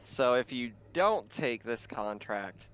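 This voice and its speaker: A man running a tabletop roleplaying game as game master, narrating informally and haltingly. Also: mid-range voice, expressive, a bit bright, clear, resonant tone. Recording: dull, lo-fi voice mic.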